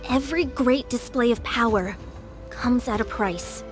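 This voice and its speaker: A woman's voice: fairly high pitch, measured pace, over loud music, close to an everyday voice. A young woman playing a caring, determined warrior in a video game, speaking earnestly and resolutely. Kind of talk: video game voice acting with musical score.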